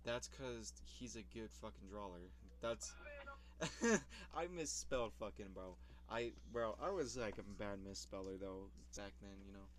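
Young man, casual vlog talk, rambling, swears casually, self-mocking, slightly low pitch. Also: measured pace. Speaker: YouTuber reviewing his old videos.

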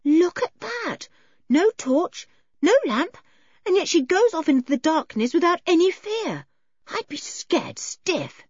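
A woman voicing an excitable, eager young girl on an adventure in a storybook reading. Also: high voice, normal speed, husky, no room sound, dull audio, pitched above the performer's own voice, heavily acted. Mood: excited. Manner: eager, exclamatory.

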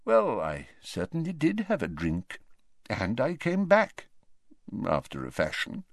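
Brief: old wizard headmaster read by a man, soft and calm, light conversational tone with quiet mumbles, storybook reading. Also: on the high side, a little slow, dry recording, studio quality, pitched above the performer's own voice, full character voice. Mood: wry. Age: older.

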